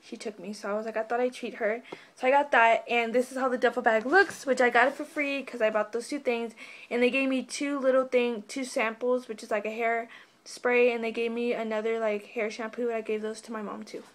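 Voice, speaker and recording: A woman's voice: on the high side, fairly even intonation, a little fast. A young woman in a casual haul vlog, losing her voice and a bit sick, rambling in a bubbly way with lots of fillers, recorded on a webcam in her bedroom. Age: young adult. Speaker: vlogger. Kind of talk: casual haul video chat. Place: bedroom, indoors.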